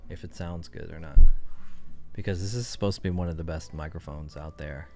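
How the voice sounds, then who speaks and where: A man's voice: low voice, fairly even intonation, a little fast, mellow, faint music behind. A man chatting casually on his livestream, rambling and thinking aloud with lots of fillers. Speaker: streamer.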